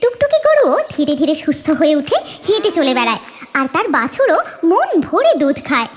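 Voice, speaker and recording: A woman's voice: high-pitched, very animated, moderate pace, faint music behind. A woman narrating a scripted cartoon story in a dramatic storytelling manner.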